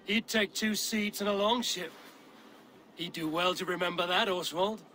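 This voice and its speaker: An actor playing a bold, blunt Viking warrior who goads and mentors with wry command in a video game cutscene. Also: male voice, high-pitched, fairly even intonation, moderate pace, pushed and bright, slight background noise. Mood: stern, wry.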